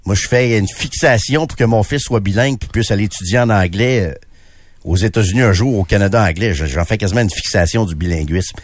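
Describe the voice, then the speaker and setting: A man's voice: lowish voice, brisk, no room sound, studio quality. Emphatic Québécois man on radio. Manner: emphatic, indignant, many restarts. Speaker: radio co-host. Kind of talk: opinionated radio talk. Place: radio studio.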